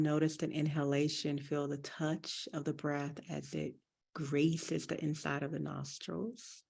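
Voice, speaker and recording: Woman meditation teacher, really slow n gentle, gravelly low voice, soothing. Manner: gentle, deliberate, soothing instruction. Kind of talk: guided breathing meditation.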